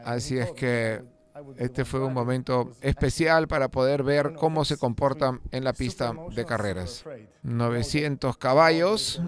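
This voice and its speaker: Male car-show host doing casual voice-over narration, loose and conversational, with soft music beneath. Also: mid-range voice, unhurried. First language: Spanish; lived in England over sixteen years. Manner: conversational, with frequent "eh" fillers and restarts. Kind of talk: unscripted voice-over commentary.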